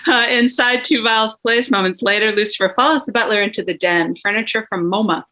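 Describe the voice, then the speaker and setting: Bright-voiced woman reading stage directions. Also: mid-range voice, fairly quick. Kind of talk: scripted narration.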